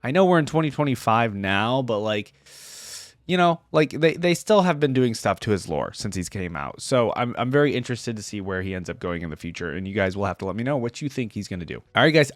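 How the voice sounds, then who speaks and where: A man's voice: mid-range voice, no echo, studio quality. A man hosting a reaction video, giving casual, rambling gaming commentary as he thinks aloud.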